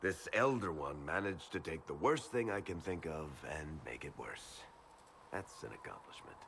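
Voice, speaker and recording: Very deep, gravelly wry adventurer. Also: male voice, big pitch swings, normal speed, a bit bright, a little hiss or hum, exaggerated voice. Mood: grim, wry. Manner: wry, sardonic, conversational. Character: gruff wry male adventurer, world-weary but teasing. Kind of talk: video game character dialogue.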